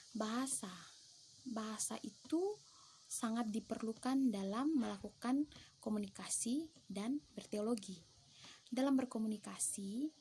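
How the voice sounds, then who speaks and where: Young woman theology student, mellow tone, measured and reflective, light background noise. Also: medium pitch. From eastern Indonesia. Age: young adult. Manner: careful, measured, with hesitations and restarts. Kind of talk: reflective class presentation.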